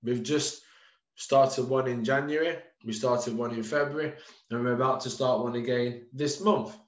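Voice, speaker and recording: Man, thirties, coach presenting webinar, informal with ums, echoey room, lo-fi webcam sound. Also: medium pitch. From United Kingdom. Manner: informal, addresses listeners as guys, frequent ums. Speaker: strength and conditioning coach presenting courses. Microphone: video call. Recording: roomy, dull lo-fi webcam audio.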